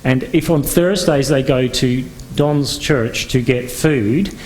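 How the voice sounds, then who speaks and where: A man's voice: mid-range voice, takes it slowly, a little mellow, a little hiss or hum, rough recording. A man from Northern Ireland giving a conference talk as a pastor, speaking conversationally with frequent fillers and restarts. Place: conference venue.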